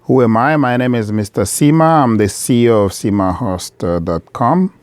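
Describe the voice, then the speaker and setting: A middle-aged man from the Democratic Republic of Congo, speaking straight to camera from his shop in an earnest, measured appeal that repeats key phrases. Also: medium pitch, clean tone, dry recording. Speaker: vlogger, business owner.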